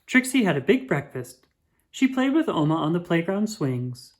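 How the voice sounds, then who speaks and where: Female storyteller for picture-book read-aloud: low register, highly animated, dramatic emphasis. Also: moderate pace. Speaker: children's book reader.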